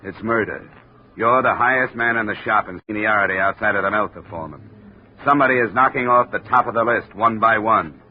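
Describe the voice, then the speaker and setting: An actor narrating and playing a wry, tough insurance investigator in a 1950s radio drama. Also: male voice, lowish voice, a little fast, a little hiss or hum, lightly acted. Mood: warning. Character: hard-boiled male insurance investigator. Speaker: narrator and lead. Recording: dull 1950 radio broadcast audio.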